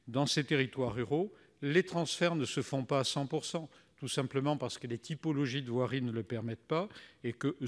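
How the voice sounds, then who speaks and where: A middle-aged man addresses a parliamentary chamber, his voice ringing in a long echo. He speaks formally at a medium pitch, building his argument in measured, slightly halting phrases. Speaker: senator asking a question. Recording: echoey reverberant chamber.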